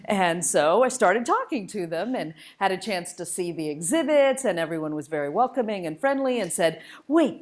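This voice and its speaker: A woman giving an informal public lecture, conversational, enthusiastic and emphatic as she shares personal stories as a guest speaker. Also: fairly low pitch. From United States and Malaysia, born in India. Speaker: guest speaker, spacecraft engineer.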